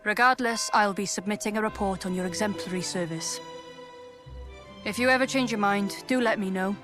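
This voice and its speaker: A woman's voice: medium pitch, moderate pace, lightly acted. Woman voicing a stern dutiful lady knight officer, formal n solemn fantasy game speech, music going behind. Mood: formal, appreciative. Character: dignified, duty-bound female knight commander in fantasy game. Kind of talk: video game cutscene acting. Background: loud background music.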